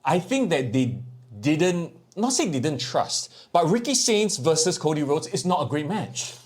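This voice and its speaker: A man's voice: on the high side, big pitch swings, measured pace. A man with a Singaporean accent co-hosting a podcast in casual, jokey, slangy banter about wrestling. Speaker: podcast co-host.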